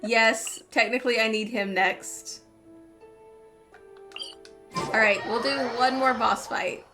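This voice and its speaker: A woman's voice: fairly high pitch, takes it slowly. A woman doing casual live gameplay commentary, chatting to herself and her viewers as she plays. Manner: chatty, talks to herself and viewers while playing. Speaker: game streamer. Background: game music.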